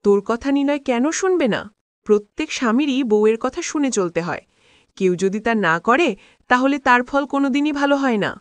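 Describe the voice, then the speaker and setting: Woman acting a meddling, high-voiced cartoon mother. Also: animated, fairly quick, smooth, no room sound. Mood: scheming, insistent. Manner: insistent, admonishing. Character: meddling, domineering mother of a married daughter. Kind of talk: cartoon drama dialogue.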